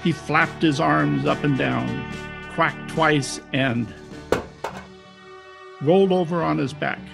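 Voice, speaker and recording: An actor playing a wry, deadpan private detective who narrates in 1940s radio style with a gravelly voice. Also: male voice, fairly high pitch, slow, music in the background, heavily acted. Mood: wry narration. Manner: deadpan, wisecracking. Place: home, recorded over video call.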